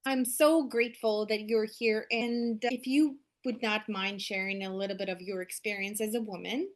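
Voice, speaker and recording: A woman's voice: medium pitch, fairly even intonation, normal speed. A woman hosting an interview over a video call, asking questions in an encouraging, admiring way. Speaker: podcast host.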